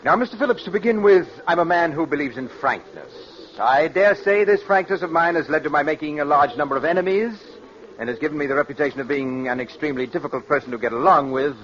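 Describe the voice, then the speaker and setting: A man's voice: a little high, fast talker, a bit bright, close to an everyday voice. An actor playing a cold, conceited radio boss in his late forties, formal and condescending, in 1940s radio drama style. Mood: self-important. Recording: dull, noisy old radio recording.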